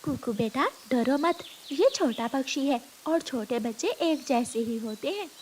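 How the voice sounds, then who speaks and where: A woman voicing a caring, gentle mother sparrow in a cartoon, kindly and motherly. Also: high voice, animated, measured pace, a little hiss or hum.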